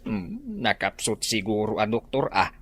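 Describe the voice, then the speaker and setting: A worried husband in an Ilocano radio play. His husky voice swings up and down in pitch as he speaks with concern. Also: very animated, unhurried, fairly bright tone, exaggerated voice. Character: husband of the maid, worried father. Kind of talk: radio drama acting.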